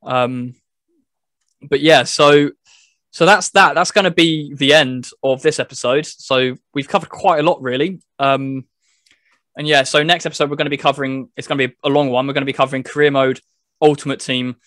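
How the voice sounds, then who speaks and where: A man's voice: on the high side, steady tone, normal speed, a bit bright, no echo, clean studio sound. A man hosting a casual football game review, talking in a loose, rambling, hesitant way. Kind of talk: casual chat.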